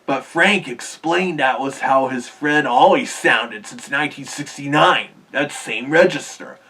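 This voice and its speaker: A man's voice: fairly high pitch, fairly bright tone, slightly rough, far from the mic. A man hosting a cartoon history video, earnestly explaining in scripted narration.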